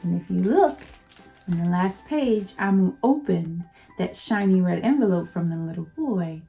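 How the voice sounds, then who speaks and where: A woman teacher reading a picture book aloud to children, slow and expressive. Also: lowish voice, vocal fry, soft background music.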